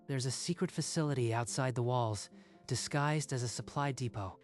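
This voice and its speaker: A man's voice: mid-range voice, moderate pace, soft background music, close to an everyday voice. A man playing an earnest, secretive young military scout with a confiding manner in a dubbed manga drama. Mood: conspiratorial.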